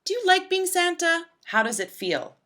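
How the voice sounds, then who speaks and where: Lady reading as a nosy little kid detective in a storybook, eager questions, bright and bouncy pitch. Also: sing-song, normal speed, full character voice. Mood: eager, probing. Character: earnest, inquisitive child detective questioning Santa.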